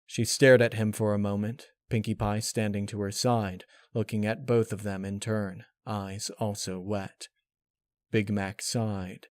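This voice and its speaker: A man reading a scripted piece of fiction as narrator, in a measured, serious, dramatic storytelling manner. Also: on the low side, level tone, no echo, studio quality.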